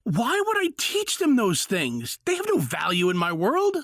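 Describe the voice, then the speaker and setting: An exasperated father pleads his case in an audio drama. The voice is rough-edged and swings widely as he protests, in a dry recording. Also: medium pitch, huge pitch swings, a little slow, a bit bright, no room sound, exaggerated voice. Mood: indignant. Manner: protesting, dismissive. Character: indignant human husband and father on trial.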